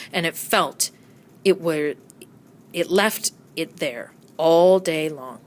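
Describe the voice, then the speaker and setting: A woman narrating a children's storybook aloud with expressive storytelling delivery. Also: fairly low pitch. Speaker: storybook reader.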